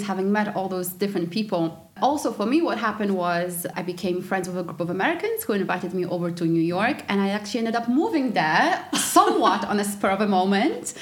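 Polish-born woman telling her travel story. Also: medium pitch. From Poland. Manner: reflective storyteller, frequent fillers and restarts, laughs easily. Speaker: podcast guest. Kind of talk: casual interview chat.

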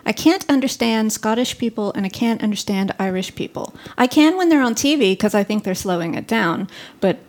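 A woman's voice: fairly high pitch, expressive, a little fast, few pauses, a little mellow. A woman hosting a podcast in casual chat, telling stories and laughing often. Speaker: podcast host.

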